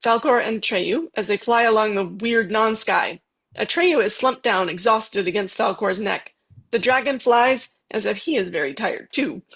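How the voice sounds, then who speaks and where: A woman's voice: mid-range voice, normal speed, a bit bright, slightly rough. A woman narrating a screenplay's stage directions in a scripted table read.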